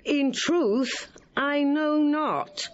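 A woman's voice: high voice, drawn-out words, dull audio. A woman playing a proud, wary, wronged queen in a Shakespearean audio drama, speaking formally and emphatically. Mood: pleading. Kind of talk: Shakespearean radio drama acting.

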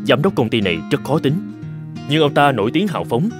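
Male actor as earnest cartoon agency boss, polite and persuasive, lively intonation, music underneath. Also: medium pitch, brisk, natural voice. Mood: explaining. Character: earnest, persuasive young-company boss, loyal to his trainee. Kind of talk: dubbed cartoon dialogue.

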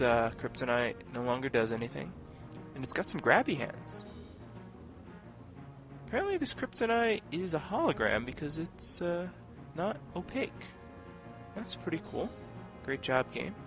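A man giving casual, wryly sarcastic commentary as he plays through a video game. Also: medium pitch. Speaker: video game let's player. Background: video game music and sound effects.

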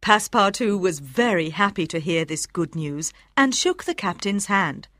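A woman reading scripted storybook narration for language learners, with careful, expressive enunciation. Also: fairly low pitch, moderate pace, no echo. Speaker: audiobook narrator.

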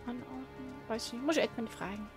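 Higher-voiced woman chatting over gameplay. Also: fast talker. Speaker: let's play co-commentator. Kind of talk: casual chat during gameplay. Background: video game music.

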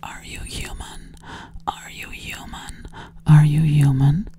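A sassy alien girl, acted out by a young woman, whispers breathily right up close in an ASMR roleplay. She is bossy and probing, repeating short phrases slowly and insistently, with a lowish pitch when voice comes through. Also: flat delivery. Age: young adult. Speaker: ASMR performer. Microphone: close to the mouth.

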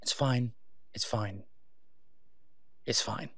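A man's voice: on the low side, drawn-out words, pushed and bright, breathy edge, dry recording, heavily acted. A man playing a sardonic, exasperated but protective vigilante antihero in an audio roleplay, with a weary, clipped manner. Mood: resigned, firm. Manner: sarcastic, weary, clipped, emphatic when irritated. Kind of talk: solo audio roleplay acting, conversational.

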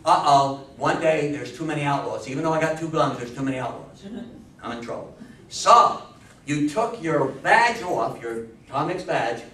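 A man in his sixties giving an animated talk to an audience, telling stories emphatically, repeating phrases and asking questions. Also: fairly high pitch, normal speed. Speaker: lecturer on old-time radio history. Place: meeting room with seated older audience. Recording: roomy, distant camera mic with background noise.

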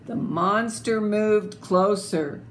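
An older woman reading a children's picture book aloud in an expressive, deliberate, theatrical way, with little asides. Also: mid-range voice. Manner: slow, deliberate, theatrical phrasing. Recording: clearly noisy home video recording.

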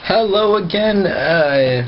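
A man's voice: sits high, expressive, slow. A young man talking casually to a handheld camera as he vlogs, recorded at arm's length. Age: young adult. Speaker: vlogger. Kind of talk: casual vlog talk to camera. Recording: muffled, noisy handheld camera audio.

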